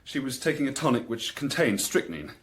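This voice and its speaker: An actor playing an anxious gentleman giving evidence in a radio drama, hesitant and deferential. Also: mid-range voice, sing-song, fairly quick, crowd noise, lightly acted.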